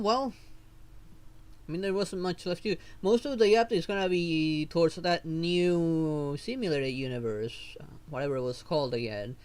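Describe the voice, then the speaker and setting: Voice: mid-range voice, a little mellow. A streamer giving casual live gameplay commentary, rambling and thinking aloud.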